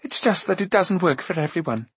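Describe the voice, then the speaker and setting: A man voicing a spirited young princess with tart, teasing retorts, pitched higher than his own voice. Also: animated, fairly quick, husky, no echo. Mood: matter-of-fact.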